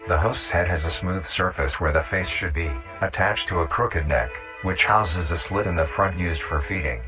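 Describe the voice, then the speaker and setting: A man delivering measured, instructional narration in the scripted style of a nature documentary. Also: deep voice. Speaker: narrator of a horror nature-guide video. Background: music.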